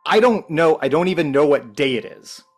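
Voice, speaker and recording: A man playing a bewildered, agitated captive in a script table read, demanding answers in an urgent, halting way. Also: fairly high pitch, animated, faint music behind, natural voice. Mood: distressed, demanding.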